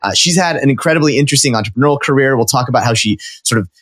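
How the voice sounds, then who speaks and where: Chatty guy hosting a podcast, upbeat, lots of ums and restarts, dry studio sound, middle pitch. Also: rapid-fire, a bit bright, no echo. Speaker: podcast host. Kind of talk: casual interview chat.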